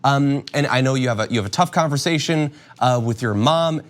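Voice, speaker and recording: Casual male interviewer, mid-pitched voice. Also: brisk. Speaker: show host interviewing a guest.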